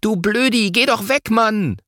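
A man voicing an irritable, excitable young boy who scolds and calls urgently in a children's story. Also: sits high, a little slow, no echo, studio quality, pitched above the performer's own voice. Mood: angry.

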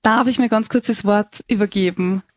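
A woman's voice: medium pitch, normal speed, dry recording. A woman presenting a radio show, interviewing young guests in a bookshop café with a conversational, questioning manner and frequent fillers.

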